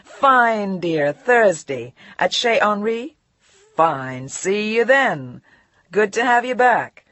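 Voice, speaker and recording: A woman voicing a smooth, urbane male magazine editor in his late thirties who talks in breezy, clipped phrases. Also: fairly low pitch, animated, unhurried, no echo. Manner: breezy, clipped, calls everyone dear.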